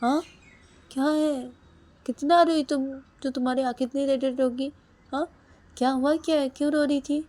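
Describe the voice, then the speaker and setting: A woman voicing an angry young man who shouts repeated questions and demands answers. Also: on the high side, lively intonation, a little fast, voice pushed up, lightly acted. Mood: insistent.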